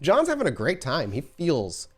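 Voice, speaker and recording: A man running a tabletop roleplaying game as storyteller, animated and quick to laugh. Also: fairly high pitch.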